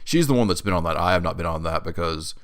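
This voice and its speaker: A man's voice: deep voice, lively intonation, fast, fairly bright tone. A young man hosting a podcast alone, getting over a head cold and rambling through an update with lots of fillers. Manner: rambling, with many fillers and restarts. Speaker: podcast host. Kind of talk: casual solo podcast update. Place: his room in a new apartment. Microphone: new home studio mic.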